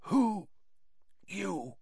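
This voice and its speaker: Crazed old official, high strained voice, gasping broken words. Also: male voice, expressive, moderate pace, fairly bright tone, no echo, clean studio sound. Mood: gasping, desperate. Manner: broken, gasping, rambling speech. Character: deranged, exhausted older male official.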